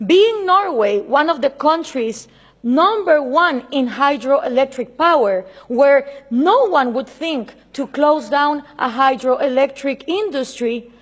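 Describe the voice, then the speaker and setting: Emphatic Central American woman speaking. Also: high-pitched. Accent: Central American Spanish. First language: Spanish. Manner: emphatic and insistent, gesturing a lot. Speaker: invited speaker. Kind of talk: political speech at a panel. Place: large conference hall. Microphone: table microphone.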